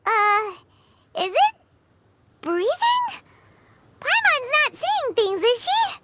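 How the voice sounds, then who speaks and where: A woman voicing a small, excitable, naive fairy companion in a video game, squeaky and childlike. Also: very slow, noisy background, exaggerated voice. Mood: uneasy wonder. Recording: loud music behind the voice.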